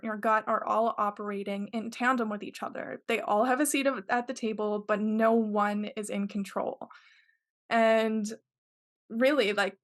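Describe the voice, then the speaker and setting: A woman talking in a casual interview, earnest and rambling, with lots of filler words. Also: fairly high pitch. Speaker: podcast guest.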